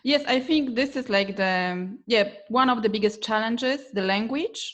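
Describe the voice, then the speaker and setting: A woman's voice: on the high side, measured pace, lo-fi sound. A woman from Poland with a Polish accent, hosting an interview and asking questions in a friendly, chatty way with many "like"s. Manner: friendly, laughs often, says "like" a lot. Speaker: podcast host. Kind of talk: casual interview conversation.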